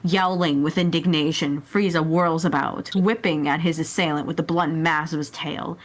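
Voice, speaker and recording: A woman's voice: low voice, fairly even intonation, moderate pace. A woman reading scripted action narration as a player in a dramatic story reading.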